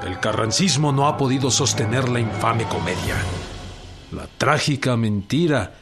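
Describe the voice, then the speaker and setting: An actor playing an indignant revolutionary leader with a Mexican accent, declaiming a manifesto in an emphatic radio drama style. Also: male voice, mid-range voice, animated, moderate pace, close to an everyday voice. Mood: indignant, accusing. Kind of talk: dramatized proclamation in a radio docudrama. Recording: music behind the voice. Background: prominent music.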